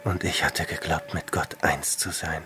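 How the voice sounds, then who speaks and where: Slow, deep-voiced weary hermit. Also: male voice, steady tone, background noise. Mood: weary, despairing. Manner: halting, brooding, hushed. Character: weary, despairing religious hermit, a man. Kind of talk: German radio drama acting.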